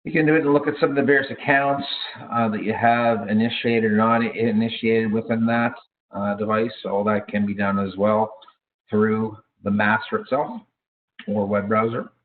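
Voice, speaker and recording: A low-voiced man leads an informal product training session. He talks haltingly, often hesitating and correcting himself mid-phrase. The audio is thin and narrow, like a phone connection. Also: steady tone. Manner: halting, self-correcting delivery with frequent hesitations.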